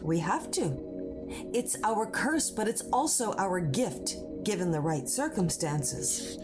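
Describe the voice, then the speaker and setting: A woman's voice: medium pitch, sing-song, slow pace. A woman playing a powerful, devoted moon being, earnest and persuasive, in an audio drama. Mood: calm, confiding. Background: music under the voice.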